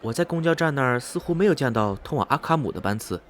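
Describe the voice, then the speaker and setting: A man's voice: medium pitch, expressive, fast talker, close to an everyday voice. A man playing a polite young traveller in a radio drama, asking questions in an inquiring way. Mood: curious, polite.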